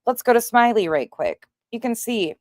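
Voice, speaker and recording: A woman's voice: a little high, expressive, normal speed, dry recording, studio quality. A woman hosting a commentary show, reacting to video clips in a sarcastic manner.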